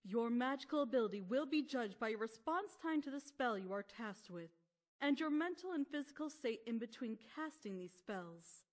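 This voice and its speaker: A woman performs a regal pony princess with a high voice. She is measured, formal and authoritative, like a royal examiner in a cartoon fan story. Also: close to an everyday voice. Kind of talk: audio fan-fiction acting.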